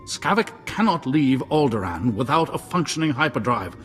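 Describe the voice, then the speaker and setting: An actor playing a pompous, indignant noble curator in a video game, speaking in a haughty, formal, theatrical way. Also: male voice, mid-range voice, big pitch swings, normal speed, faint music behind, lightly acted. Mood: indignant, urgent.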